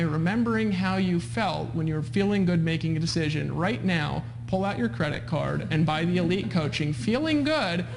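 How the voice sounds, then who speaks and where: Fast high-pitched man, persuasive coach. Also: a little hiss or hum. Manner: persuasive, repeats himself, checks in with questions. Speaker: host, sales coach. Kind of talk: live webinar sales pitch, talking with callers.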